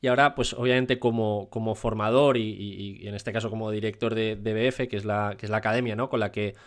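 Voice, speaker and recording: A man's voice: lowish voice, steady tone, a little fast. Hesitant man asking questions. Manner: hesitant, many fillers and rephrasings. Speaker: interviewer, exam candidate. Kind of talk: interview questions.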